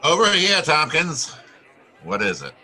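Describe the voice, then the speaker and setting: An actor playing a wry, wisecracking private detective in an old-time radio drama, with clipped, slangy delivery. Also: male voice, fairly high pitch, huge pitch swings, unhurried, sharp tone, exaggerated voice. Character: wisecracking, hard-boiled male private detective.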